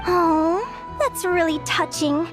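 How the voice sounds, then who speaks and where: A woman voicing a cheerful, curious young bird-fae explorer in a video game, with earnest, expressive delivery. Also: very high voice, slow pace, over music. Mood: friendly.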